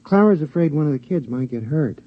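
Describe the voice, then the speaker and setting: A muffled vintage radio recording carries a timid henpecked husband, husky and placating. His pitch swings up and down as he coaxes and pleads, in old radio-drama style. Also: animated, brisk. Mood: coaxing. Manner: conciliatory, coaxing. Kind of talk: 1950s radio drama acting.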